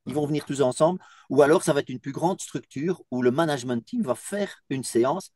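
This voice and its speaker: A man's voice: medium pitch, brisk, darkish tone, dry recording. A man from Belgium who runs a team coaching business, speaking in an interview testimonial.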